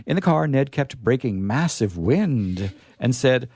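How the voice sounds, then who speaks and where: A man's voice: medium pitch, sing-song, measured pace, darkish tone, breathy edge, no echo, clean studio sound. A man telling a comic story and hosting a show, with playful, exaggerated delivery and offhand asides. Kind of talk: live comic story reading with sign-off.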